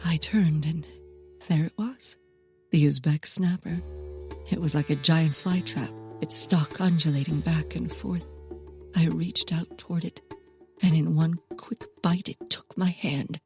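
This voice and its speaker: Casting: intense female plant explorer, low register, melodramatic confessional delivery, comic audio drama, music underneath. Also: fairly even intonation. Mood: solemn, weary. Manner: theatrical, confessional, dramatic pauses and hushed emphasis. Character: intense female botanist-adventurer confessing a forbidden love of plants. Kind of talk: comedic audio drama monologue.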